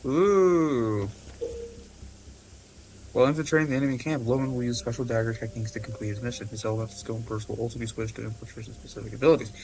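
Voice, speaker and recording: A man's voice: medium pitch, fairly even intonation, fast, darkish tone, poor audio. A man giving casual gameplay commentary and reading game text aloud, with faint noise behind him. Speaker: gaming streamer.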